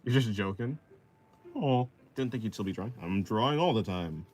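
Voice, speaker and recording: Husky, lively young man chatting. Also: on the low side, normal speed. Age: 20s. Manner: laid-back, reads chat aloud and answers. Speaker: art streamer. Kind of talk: casual live-stream chat while drawing.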